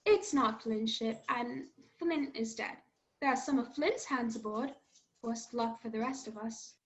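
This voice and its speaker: A woman's voice: fairly high pitch, measured pace, lightly acted. A woman playing a young cabin boy who narrates his adventure earnestly and dramatically in a radio play. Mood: wary, earnest.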